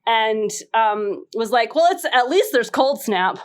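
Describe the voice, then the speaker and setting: Higher-voiced woman telling an animated story. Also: measured pace. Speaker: game panelist telling a personal story. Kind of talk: casual anecdote on a comedy game podcast.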